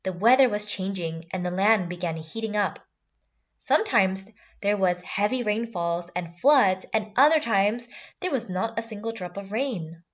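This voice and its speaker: A woman's voice: mid-range voice, moderate pace. A woman reading a scripted fairy tale for children as a storyteller. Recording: slightly dull sound, music bed.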